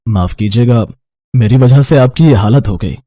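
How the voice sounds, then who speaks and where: A man voicing a kind, well-off gentleman employer in a cartoon story, polite and compassionate. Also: mid-range voice, moderate pace, slight background noise, lightly acted. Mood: concerned.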